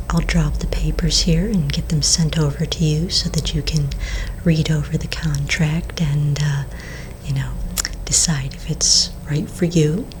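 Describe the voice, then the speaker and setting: Low whispering vampire saleswoman, played by a woman. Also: slight background noise, poor audio. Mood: helpful, businesslike. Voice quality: soft, breathy, nearly all whispered. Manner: unhurried, hesitant, reassuring saleswoman patter. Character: gracious undead vampire woman selling coffins. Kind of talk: soft-spoken ASMR roleplay.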